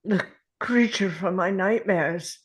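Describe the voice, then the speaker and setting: An actor playing a dying, grim and weary alien Time Lord in a dramatic script read, with a halting, pained delivery full of sighs. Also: mid-range voice, breathy edge. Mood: brooding.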